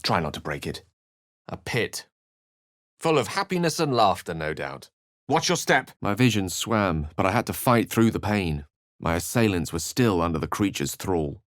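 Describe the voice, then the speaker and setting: A man's voice: deep voice, lively intonation, normal speed, no room sound, clean studio sound. A man voicing a learned, eloquent wizard adventurer in a video game, with an English accent and a theatrical, articulate delivery. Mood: dry, wry. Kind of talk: dramatic scripted game dialogue and narration.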